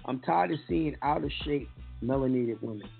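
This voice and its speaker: A man's voice: medium pitch, moderate pace, vocal fry. A man with a New York accent hosting a talk radio show, blunt and profane in his opinions. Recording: lo-fi phone-line sound with faint music.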